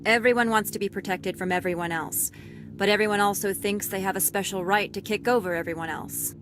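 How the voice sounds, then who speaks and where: An actress as a weary, fair-minded female security captain in a sci-fi game. She speaks formally and dryly in a medium-pitched voice, with music clearly behind her. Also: fairly even intonation, fairly bright tone, natural voice. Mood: dry, matter-of-fact. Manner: formal, measured, dry. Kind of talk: scripted video game acting.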